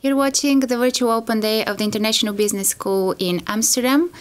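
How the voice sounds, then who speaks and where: A woman's voice: a little high, quick pace, soft background music. Polite woman hosting a webcast. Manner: polite, hesitant with fillers. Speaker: host and lecturer. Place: studio.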